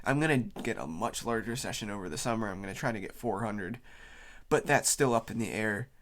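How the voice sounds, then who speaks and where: A man's voice: lowish voice, expressive, fairly quick, fairly bright tone. A man with a cold, sounding tired, gives a casual unscripted explainer as a video host, rambling loosely as he goes. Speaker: YouTube host.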